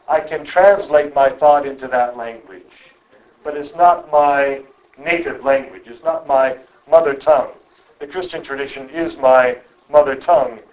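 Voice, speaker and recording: Measured, thoughtful middle-aged man lecturing. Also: a little high, rough recording. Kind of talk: reflective public lecture.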